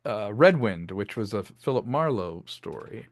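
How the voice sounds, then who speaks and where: A man's voice: fairly low pitch, sing-song, a little slow, dry recording. A man speaking as an interview guest, telling stories about his creative work in a casual, chatty way with rambling asides. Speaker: interview guest, filmmaker and audio drama creator.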